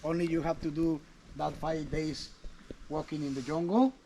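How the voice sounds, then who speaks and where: A man's voice: on the high side, steady tone, moderate pace, dark tone. A man guiding a tour outdoors at a jungle archaeological site, explaining chattily with restarts. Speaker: tour guide. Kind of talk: guided tour talk. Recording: faint outdoor noise.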